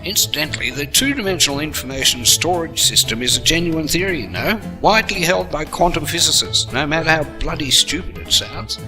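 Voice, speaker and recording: A man's voice: fairly high pitch, animated, fairly quick, hardly any pauses, over music, rough recording. A man narrating a comic audio story, with chatty asides to the listener.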